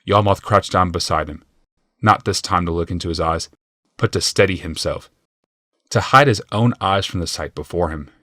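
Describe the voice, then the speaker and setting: Low-pitched man narrating a fantasy audiobook. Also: moderate pace, a bit bright, no room sound, clean studio sound. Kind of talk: scripted dramatic fantasy narration.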